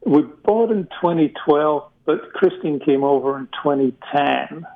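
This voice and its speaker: A man's voice: on the high side, a little slow. A Scottish man, a guest in a casual interview, talking with many hesitant fillers over a remote call. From Scotland. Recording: tinny phone-like remote line.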